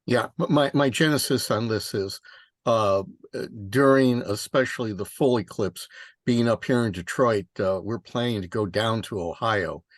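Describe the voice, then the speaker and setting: A man speaking casually on a teleconference in a halting, tentative way. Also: fairly low pitch, no room sound, studio quality. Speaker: meeting participant. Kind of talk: casual conference call chat.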